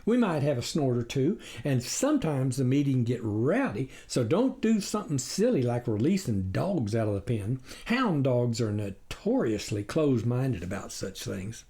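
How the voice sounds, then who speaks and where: A man's voice: medium pitch, sing-song, moderate pace, hardly any pauses, warm tone. A man voicing a sly storybook coyote who passes himself off as a duck with glib, folksy sales patter. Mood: sly, reassuring. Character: sly male coyote posing as a vegan duck. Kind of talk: storybook character reading.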